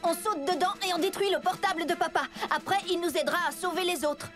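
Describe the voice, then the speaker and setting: Plucky, inquisitive young boy lead for a kids' cartoon dub, high voice, music underneath. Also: fast. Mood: puzzled, determined. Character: plucky, sharp-witted young boy hero. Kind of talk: animated children's series dub. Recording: loud cartoon music behind.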